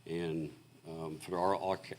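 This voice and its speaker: Deep-voiced male engineer, hoarse from losing his voice, walking a city board through a report, halting and filler-heavy. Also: flat delivery, a little mellow, a little hiss or hum. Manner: halting and explanatory, many fillers and restarts. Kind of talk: technical presentation at a public meeting. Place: city council meeting room.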